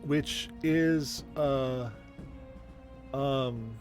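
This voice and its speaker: A man in his forties, the game master, chatting casually and rambling with many pauses. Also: mid-range voice, soft background music. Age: 40s. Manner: rambling, hesitant. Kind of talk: casual stream chat.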